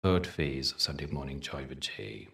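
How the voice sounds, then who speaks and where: A man leading a guided meditation in a soothing, measured way, leaving long pauses between short phrases. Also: extremely low pitch, expressive, gravelly, pushed and bright, recorded from a distance. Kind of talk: guided meditation with spoken teaching.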